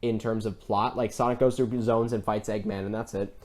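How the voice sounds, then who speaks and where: A man's voice: fairly low pitch, flat delivery, moderate pace, darkish tone. A man co-hosting a casual film discussion, talking in an earnest, rambling, enthusiastic way. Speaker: podcast co-host.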